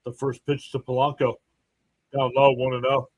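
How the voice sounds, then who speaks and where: A man's voice: medium pitch, flat delivery, moderate pace, darkish tone. A man hosting a live baseball stream, mixing clipped play-by-play calls with informal fan asides and chat to viewers. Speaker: livestream play-by-play host and fan.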